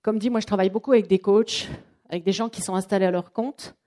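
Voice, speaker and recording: A woman runs a training workshop for a room of people. She talks in a chatty, lively way and acts out what her clients say. Her voice is mellow, and her pitch sits in the middle. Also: quick pace. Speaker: trainer and coach. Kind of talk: live workshop presentation.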